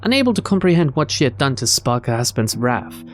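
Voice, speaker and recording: A man's voice: medium pitch, very animated, brisk, slight background noise. A man doing a game playthrough, reading visual novel narration aloud and adding his own asides. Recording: game music behind the voice.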